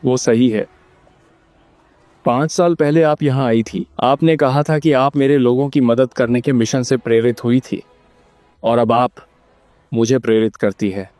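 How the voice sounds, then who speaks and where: A man playing a kindly, gentle middle-aged mentor who speaks in a measured, sincere and polite way in game dialogue. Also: mid-range voice, steady tone, no echo, soft background music, close to an everyday voice. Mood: warm, admiring. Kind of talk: Hindi-dubbed video game dialogue.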